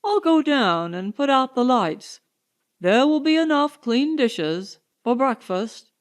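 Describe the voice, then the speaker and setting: A woman's voice: high-pitched, lively intonation, slow. A woman voicing a take-charge society man hosting guests in an audiobook, emphatic and objecting. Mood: insistent.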